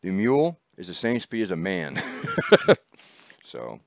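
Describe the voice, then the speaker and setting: A man's voice: sits low, wildly swooping pitch, slow pace, no room sound, studio quality. A man hosting a casual chat show, rambling and laughing as he talks. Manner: chatty, rambling, laughs often. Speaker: host. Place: small studio.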